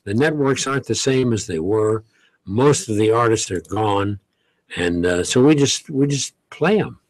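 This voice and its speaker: A man's voice: lowish voice, lively intonation, dry recording. An older man, a longtime radio host, unhurriedly reminiscing in casual talk at a studio microphone. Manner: unhurried storyteller, chuckles while recalling. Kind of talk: relaxed reminiscing conversation. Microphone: studio condenser mic on boom.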